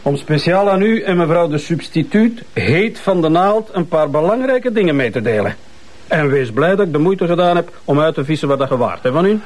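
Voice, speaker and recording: A smug Flemish policeman with a fairly high voice. He needles his colleague with theatrical self-importance, in the manner of a TV crime drama. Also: lively intonation, fairly quick.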